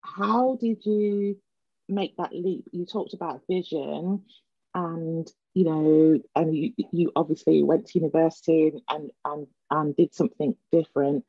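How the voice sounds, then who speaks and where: A woman's voice: low voice, fairly even intonation, measured pace, no room sound, lo-fi sound. A woman hosting a podcast interview in a conversational way. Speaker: podcast host.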